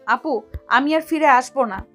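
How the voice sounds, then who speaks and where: Casting: devoted young heroine played by a woman, very high voice, earnest and pleading, romantic audio drama. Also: unhurried, fairly bright tone, faint music behind. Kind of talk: romantic audio story acting.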